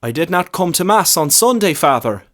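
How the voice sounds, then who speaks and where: Man voicing a nervous, stammering young lad, pitched up. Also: very animated, normal speed, fairly bright tone. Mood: sheepish. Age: young adult. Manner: stammering, panicky, earnest. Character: nervous, guilty young male parishioner. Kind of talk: short story character reading.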